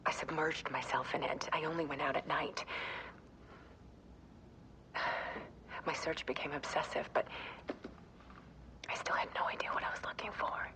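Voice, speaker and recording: An actress playing a haunted, obsessive photographer in a video game, giving an intimate, halting monologue in a hushed, breathy voice. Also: female voice, deep voice, steady tone, in a whisper, exaggerated voice, rough recording. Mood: haunted, intense. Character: haunted, obsessive female photographer recounting her breakdown.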